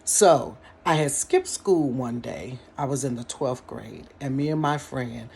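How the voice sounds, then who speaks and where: A woman's voice: very deep voice, measured pace, vocal fry. Woman telling a storytime vlog her bedroom, middle-aged, laughs a lot, talks right to the camera, faint room hiss. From Cincinnati, United States. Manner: animated, laughs often, talks straight to the viewers. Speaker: storyteller vlogger. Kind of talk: casual storytime monologue to viewers.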